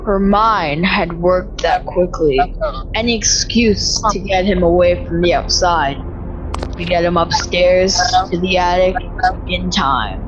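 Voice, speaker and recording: A woman's voice: fairly low pitch, takes it slowly. A woman reading dramatic scripted narration for a horror audio play. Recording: dull lo-fi sound under loud music.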